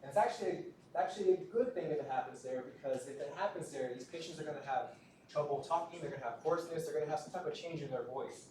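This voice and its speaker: A man lecturing in a relaxed, conversational way, circling back over his words and checking in with the class as he goes. His voice sits a little high and sounds set back from the mic. The room rings with a strong echo under a faint hiss. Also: fast. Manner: conversational, repeats words, frequent "right?" checks. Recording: roomy, distant lecture-hall recording with faint noise.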